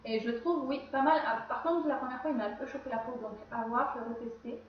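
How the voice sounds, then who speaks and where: High-voiced woman in a very echoey room. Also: quick pace, slight background noise. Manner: chatty, rambling, often corrects herself. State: has a cold. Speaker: beauty vlogger. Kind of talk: casual skincare routine chat. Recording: very echoey room, slightly dull sound.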